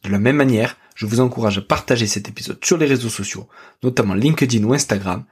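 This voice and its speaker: A man's voice: mid-range voice, quick pace. A man hosting a sports podcast, reading a scripted welcome, then asking short interview questions. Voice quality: very husky, breathy. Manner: reads intro smoothly, asks short questions. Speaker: podcast host.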